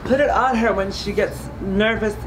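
A woman's voice: medium pitch, moderate pace, noisy background, lightly acted. A woman playing an overprotective, doting dog owner who swings between bossy warnings and baby talk in a comedy-drama. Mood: doting, fussy.

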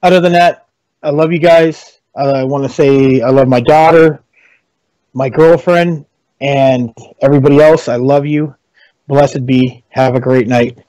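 A man co-hosting a podcast, signing off in a loose, effusive, halting way. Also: mid-range voice, fairly even intonation, warm tone, no echo, poor audio. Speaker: podcast co-host. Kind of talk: casual sign-off talk.

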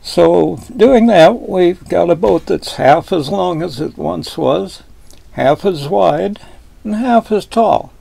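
An older man with a folksy, deliberate manner, explaining boat design in a wood-panelled cabin, filmed from a fixed camera across a table. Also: high-pitched, lively intonation, gravelly, dark tone, dry recording. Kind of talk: explanatory video lesson.

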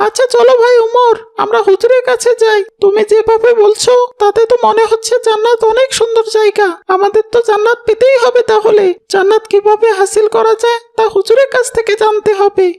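A woman's voice: very high voice, little pitch movement, a little fast, hardly any pauses, a little mellow. A woman voicing an eager, curious little boy in a cartoon. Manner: eager, questioning.

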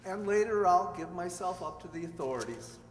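A man's voice: sits high, animated, fairly quick. A man acting the part of an earnest middle-aged doctor in hiding, in an old-time radio drama, reading on stage. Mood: earnest confession. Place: stage in a community hall. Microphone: stand microphone. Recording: echoey hall stage, distant reverberant sound.

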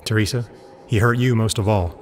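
Man acting an earnest, deliberate scientist. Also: on the low side, steady tone, close to an everyday voice. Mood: tender, concerned. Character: earnest, rational, defiant male scientist. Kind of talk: audio drama acting.

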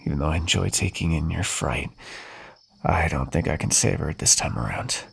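Cruel toying vampire as a man voices him, gravelly, lively swooping pitch, taunting, ASMR audio roleplay. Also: brisk, full character voice. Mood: hungry whisper. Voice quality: low gravelly voice, husky. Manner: taunting, mocking, calls listener dearie. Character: centuries-old male vampire, cruel and toying.

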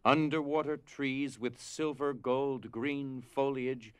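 A man reading dramatized storybook narration in a measured, solemn way. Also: mid-range voice, steady tone, clear, resonant tone, no echo. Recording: music behind.

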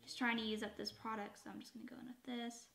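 A woman in her thirties with a slightly raspy, dry voice, chatting in a rambling way while doing her makeup at home, often correcting herself. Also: a little high, little pitch movement, fast talker, pushed and bright. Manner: rambling, self-correcting, with asides. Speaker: beauty vlogger. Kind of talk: chatty get-ready-with-me. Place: bedroom at home, indoors. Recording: echoey home room.

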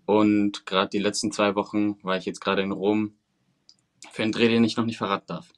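Thoughtful young actor on a video call. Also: male voice, fairly low pitch, level tone, brisk. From Venezuela, raised in Germany. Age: 20s. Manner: thoughtful, articulate, hesitant fillers. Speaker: actor guest.

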